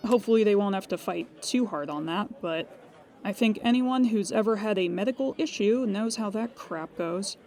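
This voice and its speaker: A woman's voice: mid-range voice, a little mellow, faint music behind, lightly acted. A woman playing a dryly weary, procedural insurance claims agent in an improvised, conversational audio drama. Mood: weary, wry. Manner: procedural, measured, wry asides when musing.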